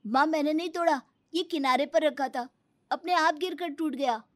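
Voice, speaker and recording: A woman puts on an innocent little boy for a cartoon moral story. The voice is pleading and pitched high above normal talk. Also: normal speed, no echo, studio quality, lightly acted. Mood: defensive. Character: innocent small boy defending himself.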